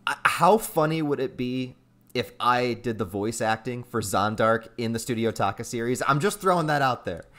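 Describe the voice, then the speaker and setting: A man's voice: mid-range voice, lively intonation, normal speed, a bit bright. A man hosting a casual interview, talking in an informal, slangy way. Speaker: host, interviewer.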